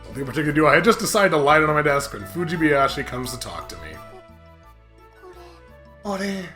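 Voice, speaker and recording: A man with a bright, forceful voice and very lively, swooping delivery hosts a casual gaming show. He jokes and exclaims in a mock-dramatic way, with light game music behind him. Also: on the high side, fast talker. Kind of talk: casual joking let's-play commentary. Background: video game music.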